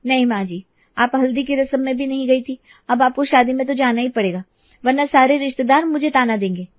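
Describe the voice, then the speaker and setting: A woman voicing an eager young daughter-in-law in an animated moral story, emphatic and sweetly insistent. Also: high-pitched, a little fast, dry recording, muffled recording, voice pushed up, lightly acted.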